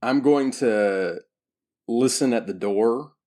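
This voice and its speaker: A man's voice: medium pitch, slow pace, dark tone. A man chatting casually as a player in a tabletop role-playing game, wry and jokingly defensive.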